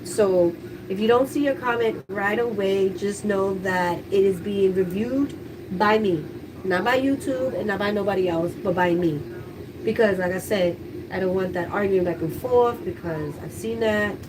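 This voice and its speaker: A woman's voice: medium pitch, normal speed, distant mic, noisy background. A woman livestreaming casually from her kitchen, chatty and candid as she talks to viewers, with a stuffy, congested voice. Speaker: livestreaming YouTuber. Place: kitchen at home, household noise.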